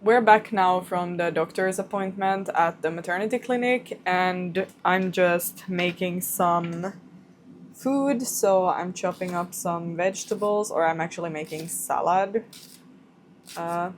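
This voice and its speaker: A woman's voice: lowish voice, unhurried, slight background noise. A young woman with a Swedish accent, Swedish her first language, chatting casually to camera in her home kitchen as a vlogger and often rephrasing herself.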